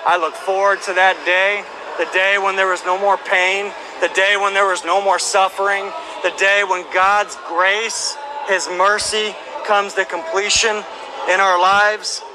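A man in his thirties preaching in the open air with a handheld microphone, reading scripture slowly and emphatically and rising to shouts. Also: high voice, little pitch movement, noisy background. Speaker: street preacher. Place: outdoor plaza by historic buildings. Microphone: handheld microphone through a speaker.

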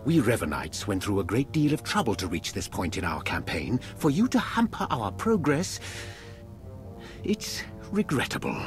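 An actor playing a menacing cult villain in a video game, pompous, condescending and deliberate. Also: male voice, medium pitch, huge pitch swings, faint music behind. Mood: condescending menace. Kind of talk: video game character acting.

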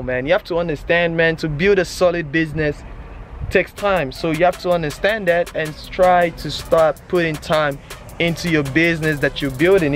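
A young man in his twenties vlogging from an outdoor car auction lot with a handheld camera, giving casual hustle advice and calling people "man" and "bro". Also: on the high side. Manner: preachy hustle talk, repeats "man" and "bro". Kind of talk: casual vlog walkthrough. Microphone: handheld camera at arm's length.

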